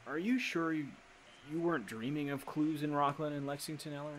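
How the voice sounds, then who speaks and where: A man playing a sympathetic young assistant in an old-time radio drama, soft-hearted and plaintive. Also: fairly high pitch, fairly even intonation, fairly quick, close to an everyday voice. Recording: noisy amateur radio play recording.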